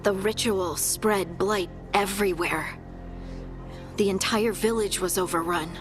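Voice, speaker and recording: An actress playing an earnest scout companion in a video game, plain-spoken and matter-of-fact. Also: female voice, medium pitch, a little slow, music in the background, natural voice. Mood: grave.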